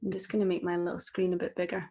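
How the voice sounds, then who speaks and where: A woman with a Scottish accent, a mindfulness coach leading a live-streamed guided session in a gentle, encouraging way with frequent ums. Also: on the low side, completely flat delivery, racing. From Scotland.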